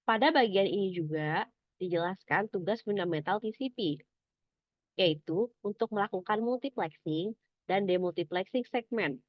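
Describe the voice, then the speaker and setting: A young woman giving a scripted academic presentation as a student, in a measured, explanatory, somewhat halting manner. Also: medium pitch, dry recording, lo-fi sound. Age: young adult.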